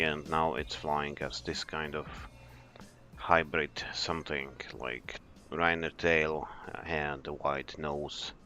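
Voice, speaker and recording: A man, an airline captain, chatting in a relaxed, explanatory way as he streams a flight simulator session. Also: rumbling low. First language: Polish. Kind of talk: live stream commentary.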